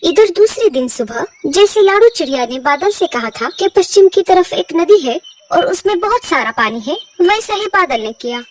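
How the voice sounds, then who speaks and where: A woman's voice: very high-pitched, very animated, moderate pace, recorded from a distance, slight background noise. A woman reading scripted narration for a children's cartoon story.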